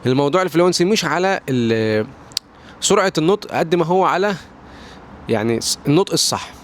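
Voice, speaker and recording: A man's voice: on the high side, expressive, fairly quick, a little hiss or hum. A young Egyptian man with an Egyptian accent, giving casual advice in a vlog, rambling with many restarts and slipping in English words. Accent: Egyptian Arabic. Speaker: English pronunciation tiktoker. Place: at home near a balcony door.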